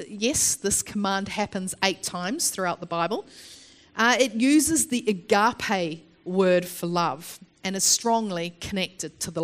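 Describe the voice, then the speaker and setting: A woman preaching a sermon in the open air, sniffly from hay fever, earnest and explanatory with frequent pauses and restarts. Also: mid-range voice.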